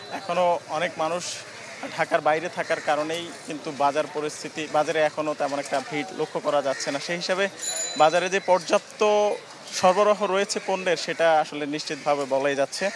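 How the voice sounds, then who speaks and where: High-voiced young reporter at outdoor market. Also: male voice, noisy background. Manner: explanatory with frequent hesitations. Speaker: field reporter. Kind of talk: live news report. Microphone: handheld mic. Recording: faint outdoor market ambience.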